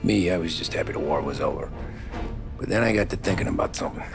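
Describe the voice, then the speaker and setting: An actor playing a street-hardened 1930s American gangster, loyal but troubled, speaking in a brooding, plain-spoken wiseguy way in a cinematic game. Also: male voice, rumbling low, fast talker, creaky. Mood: brooding, uneasy. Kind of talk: cinematic video game acting, confessional narration and dialogue. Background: background music.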